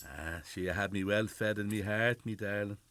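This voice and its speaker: A man's voice: fairly low pitch, normal speed, very noisy, close to an everyday voice. Man acting a charming older Irish husband. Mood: doting. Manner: jokey, charming. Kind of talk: radio drama acting.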